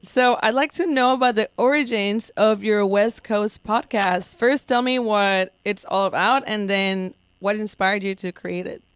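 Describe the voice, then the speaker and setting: Bubbly woman radio host, crisp and dry. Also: medium pitch, steady tone, measured pace, hardly any pauses, no echo. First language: Spanish. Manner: bubbly, laughs often, teasing. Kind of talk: casual radio interview. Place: radio studio.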